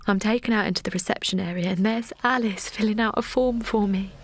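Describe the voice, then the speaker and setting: A woman's voice: mid-range voice, fairly even intonation, fairly quick, slight background noise, lightly acted. Anxious young mother, acted by a woman. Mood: hushed, aching. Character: anxious, naive young English mother, shaken and vulnerable. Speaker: actor and narrator in an audio drama. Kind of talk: intimate first-person audio drama acting.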